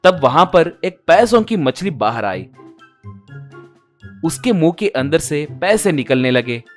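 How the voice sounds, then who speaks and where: Male narrator for a children's-style fable: slow, expressive, swooping intonation over light music. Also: fairly high pitch. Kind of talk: scripted storytelling narration for a cartoon moral tale.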